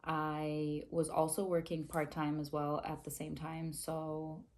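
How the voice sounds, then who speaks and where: A young woman from Zambia, a dental student, answering interview questions to camera in a measured, earnest way from a small room. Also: deep voice, completely flat delivery, darkish tone. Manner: measured, earnest, explains with hand gestures. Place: small indoor room, plain wall.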